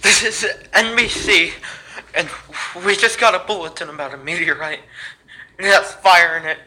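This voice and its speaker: A man acts out an exasperated, panicky male news reporter in an amateur disaster drama. The voice is high and very bright, with agitated, grumbling exclamations. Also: normal speed, rough recording.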